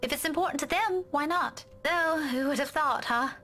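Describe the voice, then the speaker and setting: A woman's voice: high voice, moderate pace, bright, forceful tone. A woman playing a hesitant but dryly teasing young woman in a visual novel game. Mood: dry, conversational.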